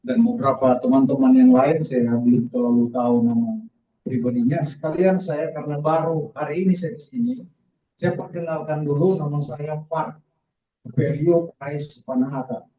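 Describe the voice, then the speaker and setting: A man speaks in a somewhat high register, giving a testimony at a church service. His delivery is emphatic and broken into short phrases with frequent pauses. The sound is dull and lo-fi, picked up at some distance in a clearly reverberant room. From southern Philippines, mixed Indonesian family.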